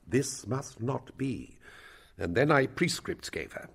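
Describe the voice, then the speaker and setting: A male actor plays an elderly royal counsellor in a Shakespearean drama, self-important and long-winded. His gravelly, husky voice rises and falls dramatically as he stretches out his words to make a point. He is an eager schemer who savours his own speech. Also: medium pitch, big pitch swings, full character voice. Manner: pompous, rambling, theatrical delivery with drawn-out words. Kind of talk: Shakespearean stage-play acting.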